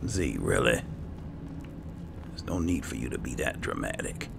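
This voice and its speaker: A man giving casual, low-key roleplay gaming commentary, muttering as if to himself. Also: big pitch swings, a little slow. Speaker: gameplay host. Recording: clearly noisy with game ambience. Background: video game ambience.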